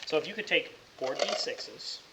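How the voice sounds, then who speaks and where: A man acting as game master, narrating casually and bantering with the players in a teasing, excitable way. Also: fairly high pitch, normal speed, very noisy.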